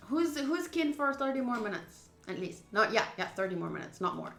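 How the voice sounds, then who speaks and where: A woman's voice: fairly high pitch, very animated, fairly quick, creaky, a bit bright, soft background music. A young woman streamer reading a visual novel aloud and chatting informally and expressively with viewers. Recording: roomy echo.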